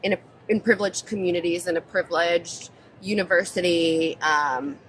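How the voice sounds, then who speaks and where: A woman's voice: on the low side, fairly even intonation, normal speed, fairly bright tone, a little hiss or hum, low-quality recording. An American woman speaking as a guest in a casual interview, explaining things in an informal, chatty way. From born in Israel, grew up mostly in New Jersey, US.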